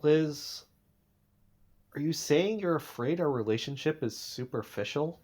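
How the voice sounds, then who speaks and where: Slow, halting, anxious podcaster played by a man. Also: a little high, animated, a little mellow. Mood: pleading, earnest. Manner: halting, breaks off mid-sentence, sighing. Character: anxious, earnest young male podcaster livestreaming desperate proof. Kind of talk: naturalistic audio drama acting.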